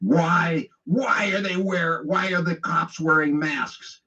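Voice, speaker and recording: A man's voice: on the high side, takes it slowly, pushed and bright, distant mic. An American man delivering an opinionated, sarcastic radio monologue in a mocking, conversational way. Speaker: political talk radio host. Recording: dull lo-fi radio relay.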